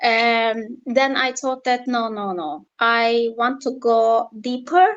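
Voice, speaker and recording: Guest: woman, slightly high voice, hesitant, lots of fillers. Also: smooth, highs cut off. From Turkey. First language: Turkish. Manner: halting, many fillers and restarts. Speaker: yoga teacher guest. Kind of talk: casual interview answers.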